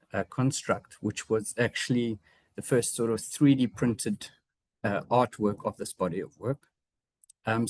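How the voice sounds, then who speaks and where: An artist from South Africa with a South African English accent, giving a reflective seminar presentation about his own work, pausing often with fillers and restarts. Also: lowish voice, no echo. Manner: hesitant, frequent fillers and restarts; reflective, personal.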